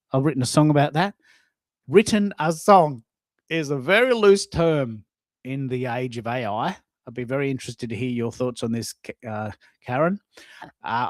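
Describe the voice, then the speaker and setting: A man's voice: mid-range voice, expressive, normal speed, dry recording. A middle-aged man hosting a live audio show, chatting casually and rambling, repeating words for emphasis. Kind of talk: casual live-stream chat.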